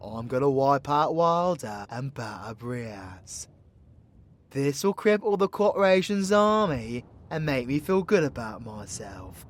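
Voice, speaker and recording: A man's voice: medium pitch, big pitch swings, unhurried. Man playing a cheeky British warlock, swooping voice. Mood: cheeky, cocky. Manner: cheeky, slangy, irreverent. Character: cocky, foul-mouthed, joking young male warlock. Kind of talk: dramatic audio roleplay.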